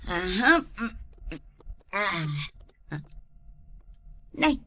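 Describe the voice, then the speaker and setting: A woman's voice: medium pitch, very animated, very slow, bright, forceful tone, exaggerated voice. A woman playing a gossipy, teasing neighbour in an Ilocano radio drama, with an Ilocano accent and plenty of interjections.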